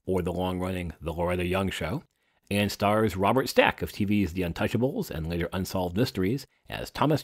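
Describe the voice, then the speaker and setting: A man presents a scripted podcast introduction. His voice sits low. The sound is close and dry, without room echo. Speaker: podcast host.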